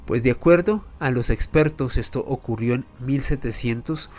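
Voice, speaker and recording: A man's voice: mid-range voice, normal speed, darkish tone, faint music behind. A man hosting a history and mystery show, narrating in a formal, emphatic, rhetorical storytelling manner. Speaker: host of a history and mystery channel. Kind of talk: semi-scripted documentary narration.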